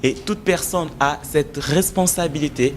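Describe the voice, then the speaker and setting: Earnest Congolese man, fairly high voice. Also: unhurried, a little hiss or hum. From Democratic Republic of the Congo. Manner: earnest, hesitant with fillers. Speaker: author interviewed. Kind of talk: conversational interview.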